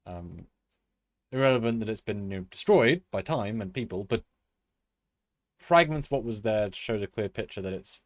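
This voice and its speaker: A man with a fairly low voice who rambles in a chatty way, restarting his sentences as he goes. He co-hosts a casual live stream, and the sound is dry and close with no echo. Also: animated, moderate pace, pauses often. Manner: rambling, many fillers and restarts. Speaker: co-host. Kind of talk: live-stream review chat.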